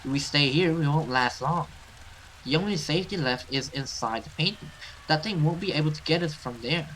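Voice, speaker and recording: A man's voice: fairly high pitch, brisk, a bit bright, slight background noise, lightly acted, rough recording. A man voicing an urgent, resolute horror game companion in an insistent, dramatic way.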